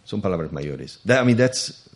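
A man's voice: deep voice, sing-song, normal speed. A male radio host conducting a casual interview, chatty and laughing often, dropping in Spanish phrases.

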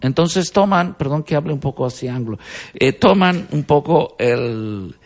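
Male comic as self-important reporter from Miami: halting, animated pitch, gravelly edge, mock-interview delivery. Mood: pompous. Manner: halting, hesitant, apologizes for slipping into English, breaks into laughter. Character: pompous Chilean-born Miami TV journalist, self-important, mangling English.

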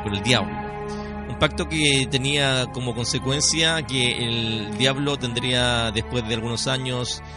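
A man with a Chilean accent hosting radio, giving an ad-libbed introduction in a hesitant, deliberate way with frequent tag questions. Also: medium pitch. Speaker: radio host. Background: music.

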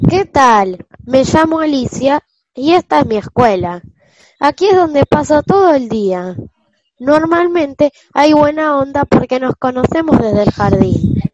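Friendly schoolgirl storyteller for a school radio play, played by a female, high-pitched, muffled lo-fi home sound. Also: a little mellow, dry recording. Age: child character. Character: friendly schoolgirl Alicia narrating her class story.

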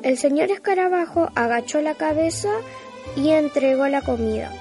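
A woman's voice: high-pitched, expressive, moderate pace, over music. A woman narrating a scripted children's story.

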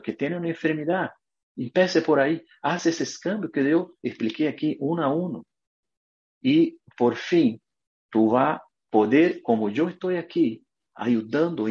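An earnest man from Brazil speaking Spanish with a Brazilian Portuguese accent. He talks in short, emphatic, motivational phrases at a middling pitch. The recording sounds a little dull and lo-fi. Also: a little mellow. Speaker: naturopath guest. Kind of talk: video interview.

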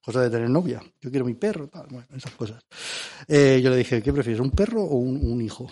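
A man's voice: mid-range voice, expressive, fairly quick, mellow, slightly rough. A man from Spain with a Spanish accent doing joking radio chat, theatrical and repeating himself for emphasis. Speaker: comic regular of a radio show segment.

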